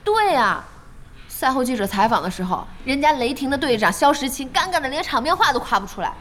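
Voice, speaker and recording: A woman playing a feisty, blunt young esports fan in an audio drama, outspoken and quick to complain. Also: high-pitched, big pitch swings, fairly bright tone. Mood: exasperated. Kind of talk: Chinese audio drama acting.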